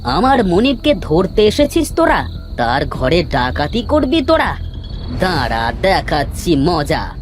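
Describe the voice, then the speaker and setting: Man acting a fierce, slow-talking guard dog. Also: high voice, wildly swooping pitch, a little hiss or hum, full character voice. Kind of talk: Bengali cartoon voice acting. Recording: music behind.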